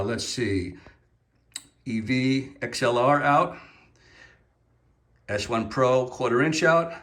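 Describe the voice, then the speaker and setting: A man's voice: medium pitch, fairly bright tone. A man giving an unscripted, informal and opinionated product review, explaining features hands-on as he goes. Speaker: product reviewer presenting portable PA speakers.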